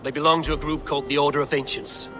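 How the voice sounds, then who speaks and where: An actor playing a grave, steady Viking warrior on the hunt for a secret order in a video game cutscene. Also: male voice, fairly high pitch, fast talker, over music, natural voice. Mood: grave, determined.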